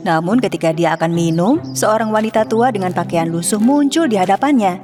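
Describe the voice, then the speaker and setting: A woman narrating a children's cartoon story in a calm, clear storytelling manner. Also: mid-range voice, a little fast. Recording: music behind.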